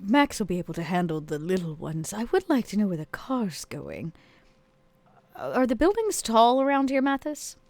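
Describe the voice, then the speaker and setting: A woman's voice: mid-range voice, big pitch swings, moderate pace, darkish tone, no room sound. Close, dry-sounding woman playing tabletop. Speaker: player in a tabletop roleplaying game. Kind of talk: casual game-table chat, partly in character.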